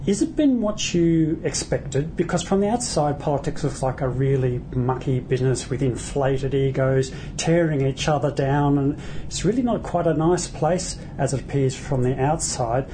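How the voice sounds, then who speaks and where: An interviewer poses probing questions in a relaxed, conversational way. A steady low rumble sits under the voice throughout. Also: moderate pace, hardly any pauses.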